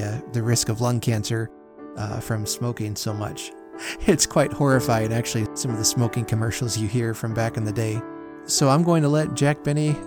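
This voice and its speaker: Guy doing a chatty podcast intro, lightly jokey, music playing behind him. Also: medium pitch. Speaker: podcast host.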